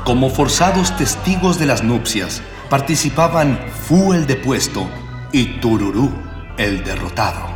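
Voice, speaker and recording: A man's voice: mid-range voice, animated, unhurried. A man narrating a radio serial in a florid, pompous, declamatory mock-epic style. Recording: long echoing reverb, music behind.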